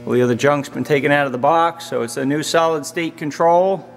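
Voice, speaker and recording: A man's voice: fairly high pitch, a little fast, long reverb tail, a little hiss or hum. A man giving a plain, matter-of-fact demo of a machine in a large indoor machine shop, recorded on a handheld camera. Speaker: machinery dealer. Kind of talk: casual product demo.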